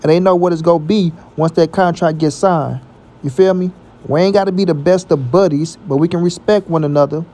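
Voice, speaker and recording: An American man with a fairly high voice that stays quite level in pitch. He gives casual, opinionated sports commentary with a mellow tone, repeating himself for emphasis and using plenty of slang. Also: fairly even intonation, brisk. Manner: emphatic, repetitive, slangy with frequent check-in tags. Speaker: boxing commentary channel host.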